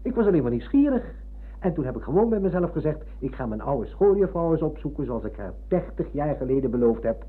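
An actor playing a polite, deferential middle-aged former pupil in a radio play. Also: male voice, fairly high pitch, sing-song, fairly quick, slightly rough. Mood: apologetic. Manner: courteous, deferential. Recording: muffled phone-like old radio recording.

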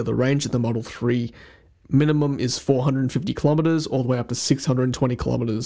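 A man's voice: medium pitch, darkish tone. A young man giving an upbeat, methodical voice-over, listing tips by number as the host of his channel. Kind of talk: voice-over explaining a map with slides.

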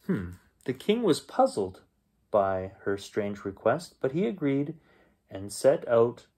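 A middle-aged man reads a children's bedtime story aloud as its host, with expressive storytelling. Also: medium pitch, breathy edge. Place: small bedroom at home, indoors.

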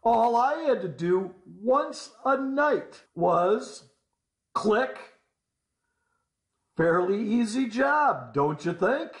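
A man with a fairly high voice narrates a scripted story in the first person. He speaks deliberately, with folksy asides put to the listener. The recording is roomy, with clear echo around his words. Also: big pitch swings. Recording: roomy, echoing voice-over.

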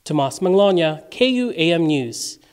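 A young man giving scripted news narration in a measured, clear reporting delivery. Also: on the high side, very animated, darkish tone. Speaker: news correspondent.

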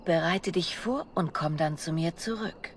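Woman playing a low-voiced, seductive spy. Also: measured pace, slight background noise, lightly acted. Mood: teasing. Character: seductive, confident female bard spy, playful and scheming. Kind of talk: video game voice acting.

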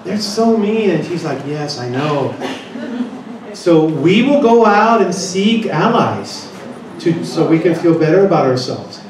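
A man's voice: fairly high pitch, sing-song, unhurried, noisy background. Joking male presenter in an echoey conference room. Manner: chatty, joking with the audience. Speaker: workshop presenter. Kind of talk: interactive seminar talk. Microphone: handheld mic, far camera. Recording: echoey room, distant camera.

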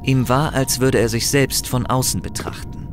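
A man narrating a fantasy audio drama in a grave, measured, scripted storytelling style. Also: medium pitch, fairly even intonation. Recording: music behind the voice.

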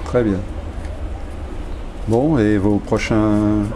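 A man's voice: lowish voice, steady tone, drawn-out words, mellow, a little hiss or hum, low-quality recording. A man conducting an interview, who asks short questions and gives brief, encouraging replies.

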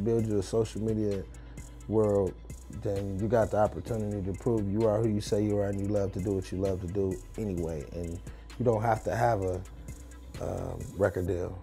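A man in his thirties giving matter-of-fact, measured advice in a documentary interview. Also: fairly low pitch, mellow. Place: small music studio. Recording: faint music behind the voice.

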